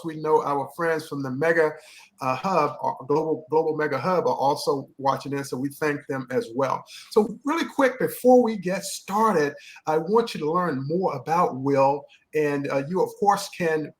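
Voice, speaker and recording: A man hosting a live-streamed interview podcast, enthusiastic and repeating words for emphasis. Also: fairly high pitch. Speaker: podcast host.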